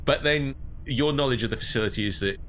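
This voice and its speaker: A man running a tabletop game as game master, explaining rules and scenes in a measured way. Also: mid-range voice, fairly bright tone, slight background noise.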